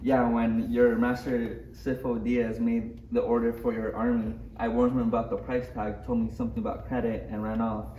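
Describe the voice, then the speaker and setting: A man playing a polite, halting alien cloner with a salesman's pride, in a parody table read. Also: medium pitch, level tone, mellow, lightly acted. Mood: polite, eager. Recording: echoey room, distant mic, faint noise.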